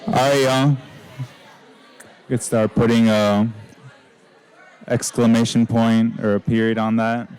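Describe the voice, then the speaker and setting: A man giving casual closing remarks at a live event, with many fillers and a voice he calls monotone. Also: medium pitch, a little slow, pauses often, clear, resonant tone, some chatter behind. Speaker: event facilitator. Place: indoor event venue.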